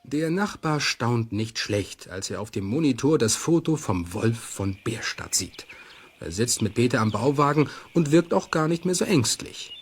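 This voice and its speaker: A man narrating a children's radio play in an expressive, suspenseful storytelling manner. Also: mid-range voice, normal speed, slight background noise, lightly acted.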